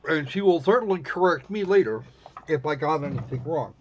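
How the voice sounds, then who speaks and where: A man hosting a livestream, giving casual, snarky commentary on a game with halting pauses. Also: on the high side, animated, slight background noise. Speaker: livestream host. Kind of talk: casual live commentary on a visual novel. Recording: dull lo-fi microphone sound.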